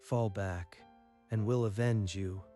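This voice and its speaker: A man performs a young sea emperor in a manga dub, speaking slowly with a mellow, low tone. He stays steady and quietly commanding. Faint music plays behind him.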